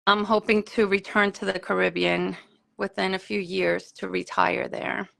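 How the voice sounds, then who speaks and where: Gravelly-voiced woman from Puerto Rico. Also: mid-range voice, little pitch movement, moderate pace, pushed and bright. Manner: self-deprecating, apologetic. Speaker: guest crafter. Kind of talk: casual live-stream chat.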